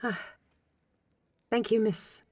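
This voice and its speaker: Casting: female actor as polite searcher for fantasy audio drama, unhurried, halting broken speech. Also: fairly high pitch, darkish tone, husky. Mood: polite, searching. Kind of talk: fantasy audio roleplay acting.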